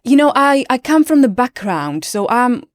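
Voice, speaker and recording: A woman's voice: on the high side, sing-song, normal speed, no echo, clean studio sound. A woman in her late thirties with a Czech accent, a yoga teacher chatting as a podcast guest in an earnest, reflective way, often restarting her sentences. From Czech Republic. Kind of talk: casual chat.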